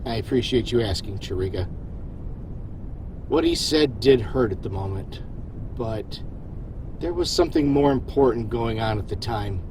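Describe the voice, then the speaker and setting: Starship captain, male actor: steady, modest, reflective; medium-pitched voice over a rumbling ship background. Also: moderate pace, background noise, lightly acted. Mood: reflective, sincere. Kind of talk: science fiction audio drama acting.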